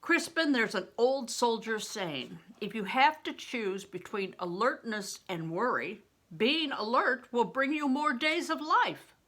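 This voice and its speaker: A woman's voice: fairly high pitch, lively intonation, unhurried, hardly any pauses, fairly bright tone, voice pushed up. An older woman voicing a hearty, worldly male mummer from a storybook, giving fatherly advice emphatically. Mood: earnest, reassuring.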